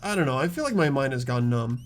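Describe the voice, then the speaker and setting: A man's voice: medium pitch, animated, fast talker, close to an everyday voice. A man voicing an earnest, anxious teenage schoolboy protagonist, narrating dramatically as in a visual novel. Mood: grim, stunned. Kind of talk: visual novel character reading.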